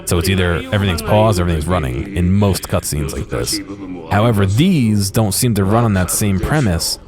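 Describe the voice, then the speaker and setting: A man's voice: sits low, a little fast, crowd noise. A man giving casual, rambling explanatory commentary over video game footage. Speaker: gaming video creator. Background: music.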